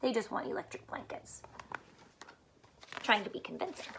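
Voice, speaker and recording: A woman's voice: on the high side, big pitch swings, moderate pace, gravelly, fairly bright tone, slight background noise, rough recording. A woman teacher reading a picture book aloud to children, expressively, with simple explanations and questions.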